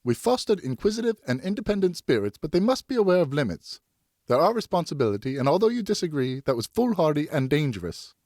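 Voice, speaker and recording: Man voicing a high-pitched, distraught French wife, scolding. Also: very animated, fast talker, a little mellow, dry recording, clean studio sound. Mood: hurt, indignant. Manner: indignant, pleading, emphatic. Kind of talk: audiobook character acting.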